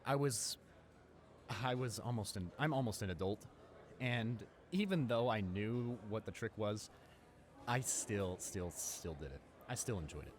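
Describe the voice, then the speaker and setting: A young man playing a friendly, eager high school senior in a roleplay audio drama, rambling enthusiastically with stammers and repeats. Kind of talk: roleplay audio drama, casual one-sided conversation. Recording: clear background noise, ambience.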